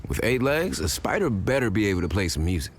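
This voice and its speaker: A man's voice: fairly low pitch, big pitch swings, fast talker, slight background noise. An actor playing a wry, watchful male hero, musing aloud in video game lines.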